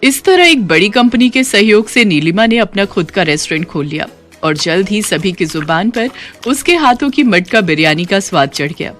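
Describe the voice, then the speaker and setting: A woman narrates a scripted moral tale. Her voice sits at a middle pitch, and faint music plays beneath the storytelling. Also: expressive, normal speed, a bit bright.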